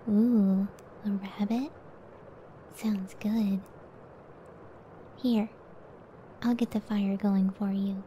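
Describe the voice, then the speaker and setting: A woman acts out a gentle monster-girl wife, a cyclops giantess, in an intimate ASMR roleplay. Her voice is very dark and soft, and she takes her time with tender, breathy phrases. There is a faint hiss behind her. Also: medium pitch, steady tone, drawn-out words, pauses often, rough recording. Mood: tender, caring. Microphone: close ASMR microphone.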